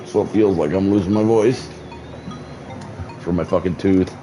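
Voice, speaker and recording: A man streams a game, chatting in a deep, mellow voice that sounds a bit worn, as if he is losing it. He rambles and restarts his sentences, swearing casually. Faint music plays underneath. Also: fairly even intonation, normal speed. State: losing his voice, mouth numb. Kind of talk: casual gameplay commentary.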